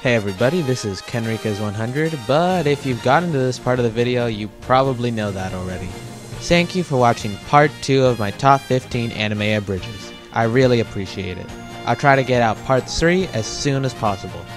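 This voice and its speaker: A man's voice: medium pitch, expressive, brisk, music in the background. A man hosting a countdown review video in a casual, scripted narration with a wry, jokey manner. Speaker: host of a top-list video.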